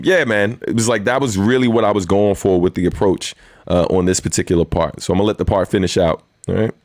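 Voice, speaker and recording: A man with a very deep voice hosting a weekly live stream, chatting casually in a laid-back, rambling way with lots of fillers. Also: darkish tone, dry recording, studio quality. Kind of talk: casual livestream chat and Q&A.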